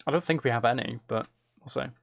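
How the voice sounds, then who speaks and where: A man's voice: medium pitch, fast talker, creaky, dry recording. A man giving casual gameplay commentary, thinking aloud in a musing, hesitant way as he plans. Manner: musing, self-talking, hesitant planning. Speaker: gaming streamer. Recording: keyboard clicks and typing noise. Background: faint noise, computer keyboard and mouse clicks.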